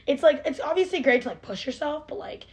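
A woman's voice: high-pitched, animated, fast. A nineteen-year-old woman in a casual chat, earnest and self-reflective, saying "like" all the time. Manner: chatty, heavy use of "like", earnest and self-reflective.

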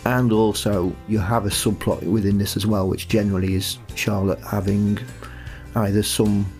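Man, low voice, explanatory and conversational, writer in a relaxed interview, music behind. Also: normal speed. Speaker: audio drama writer. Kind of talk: casual interview chat.

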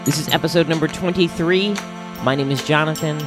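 A man's voice: fairly high pitch, fairly quick, music in the background. A man giving a casual, informal podcast introduction with a somewhat hesitant delivery. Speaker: podcast host.

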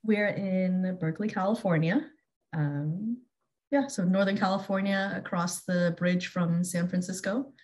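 A young woman from Singapore, a podcast guest on a call, chatting in a relaxed, matter-of-fact way. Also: on the low side, unhurried. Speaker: interview guest. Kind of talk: casual chat. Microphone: remote call.

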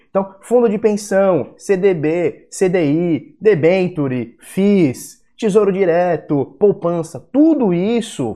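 A man's voice: a little high, mellow. A young Brazilian man with a Brazilian Portuguese accent, hosting a casual market-analysis video, blunt and profane, with heated rants. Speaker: crypto video host.

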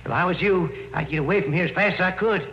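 An actor in an old radio drama playing a superstitious rural caretaker, telling things in a halting, folksy, ominous way. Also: male voice, high voice, lightly acted. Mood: insistent warning. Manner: folksy, halting, foreboding storytelling. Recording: muffled vintage radio recording, music behind.

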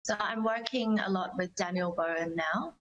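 Woman, low voice, measured phrasing, conversational interview guest, considered answers. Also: a bit bright, highs cut off. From Australia. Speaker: singer-songwriter, interview guest.

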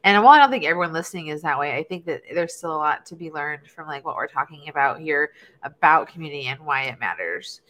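A woman host with a lower voice and a bright, forceful edge. She affirms what she hears and steers the talk with long, reflective questions. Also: expressive, fast, hardly any pauses. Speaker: interviewer host. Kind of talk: podcast interview.

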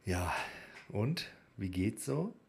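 Low-voiced man, jokey podcast co-host. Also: sing-song, slow pace, creaky, darkish tone. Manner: jokey, teasing. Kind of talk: casual banter.

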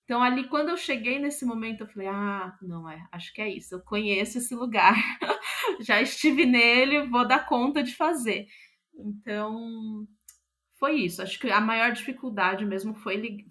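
A woman with a Brazilian accent gives a reflective interview as a playwright. Her voice sits at a middle pitch. She is thoughtful, feels for the right word and often lets phrases trail away. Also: pushed and bright.

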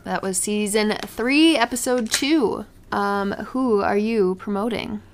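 A woman's voice: mid-range voice, slow pace. A woman chatting casually as co-host of a TV recap podcast. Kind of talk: casual chat.

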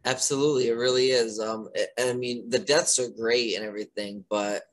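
A man interviewing a horror filmmaker in a casual, chatty way, with a loud voice, frequent laughs and many fillers. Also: mid-range voice, steady tone, a little fast.

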